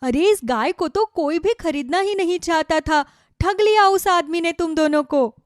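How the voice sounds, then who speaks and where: Scornful cartoon village gossip, acted by a woman: high voice, scolding, nosy, dry recording. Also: normal speed, no room sound. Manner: scolding, exclamatory.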